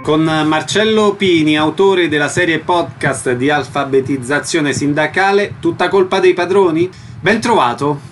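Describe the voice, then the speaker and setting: A man's voice: fairly high pitch, unhurried, hardly any pauses, faint music behind. A man hosting a radio interview, putting prepared questions to guests.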